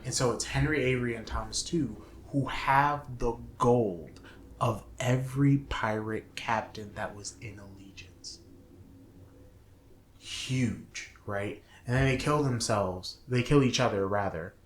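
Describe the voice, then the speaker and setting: Male show co-host, unhurried and halting, chatting casually in an echoey room. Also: mid-range voice, slight background noise. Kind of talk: casual film and game review discussion. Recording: roomy, echoing sound.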